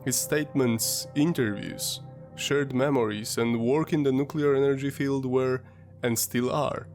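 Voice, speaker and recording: Brief: male host, stuffy sinus-congested voice, mid-pitched, measured documentary read, faint music underneath. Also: fairly even intonation, a little mellow. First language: Polish. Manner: measured, explanatory storytelling. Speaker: history channel host. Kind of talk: scripted documentary narration.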